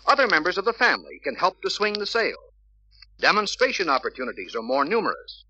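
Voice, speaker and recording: An American man reading scripted sales-training narration in an emphatic, deliberate, persuasive way on a vintage film soundtrack. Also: sits high, very animated, sharp tone, breathy edge. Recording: dull vintage filmstrip soundtrack, faint hiss.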